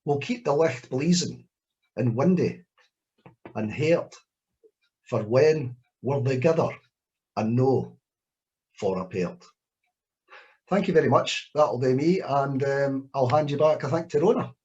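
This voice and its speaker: A man's voice: mid-range voice, slow pace, pauses often. Scottish man poet on a dull call line. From Scotland. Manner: relaxed, self-deprecating, hesitant with many restarts. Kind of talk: spoken word reading and Q&A. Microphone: video call. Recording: lo-fi video call audio.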